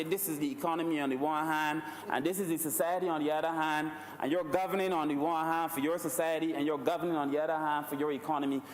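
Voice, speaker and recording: A man's voice: on the high side, a little hiss or hum. A Barbadian man giving a rhetorical parliamentary speech, repeatedly addressing the Speaker as sir. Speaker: member of parliament. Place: large parliament chamber. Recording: echoey chamber, distant mic.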